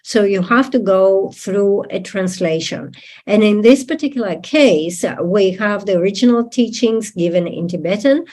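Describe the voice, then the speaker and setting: A woman whose first language is not English, interpreting a Buddhist teaching in a deliberate manner and checking in with listeners. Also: mid-range voice, animated, darkish tone. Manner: deliberate, with tag questions to listeners.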